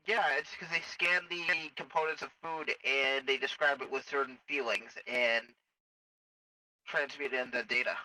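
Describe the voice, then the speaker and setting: A man improvising in-character roleplay over game voice chat, matter-of-fact, with a halting delivery. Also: medium pitch, fairly even intonation, sharp tone, no echo, recorded from a distance, rough recording. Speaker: roleplayer acting as a Star Wars officer.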